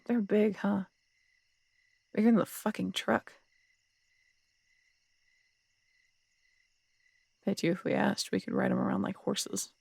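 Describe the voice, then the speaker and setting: Actress for female city cop in audio drama: tough, wry, low-key storyteller, raspy voice, very dry intimate sound. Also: darkish tone, no room sound. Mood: amused. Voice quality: husky, gravelly voice. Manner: wry, unhurried storytelling with casual swearing. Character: tough, strong-headed woman city detective, wry and fond. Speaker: voice actor in an audio roleplay.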